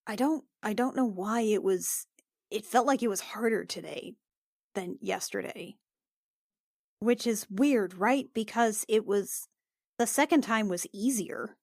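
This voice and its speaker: A woman's voice: medium pitch, measured pace, creaky, a little mellow, dry recording, clean studio sound. A woman playing a tabletop role-playing game, speaking hesitantly and repeating words as she gathers herself. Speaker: player.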